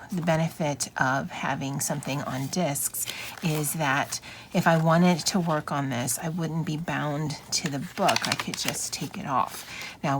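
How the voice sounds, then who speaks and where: A woman chatting casually as she comments on a craft flip-through, musing informally with wry asides. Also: sits low. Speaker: craft channel host. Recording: clear steady background noise.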